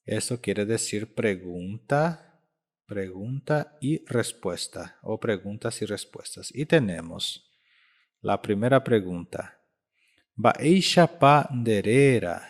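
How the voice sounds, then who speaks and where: A man in his thirties teaching a language lesson, saying each phrase slowly and repeating it several times. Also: fairly low pitch. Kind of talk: slow spoken language lesson.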